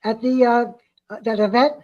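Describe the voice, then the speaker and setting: Guest, woman, high voice, talks fast, self-deprecating and hesitant, dull lo-fi sound. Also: steady tone, mellow. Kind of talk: casual chat.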